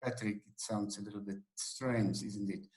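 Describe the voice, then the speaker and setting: A man whose first language is Afrikaans, a minister speaking as a webinar panellist, friendly but halting. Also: lowish voice, fairly even intonation, a little mellow. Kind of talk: webinar talk. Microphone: video call.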